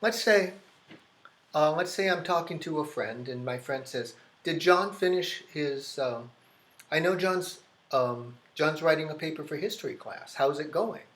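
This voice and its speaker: An American man teaching an English grammar lesson, explaining slowly and repeating key phrases, often restarting his sentences. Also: a little high, fairly bright tone. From United States. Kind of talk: classroom grammar lesson at a whiteboard. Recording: echoey classroom.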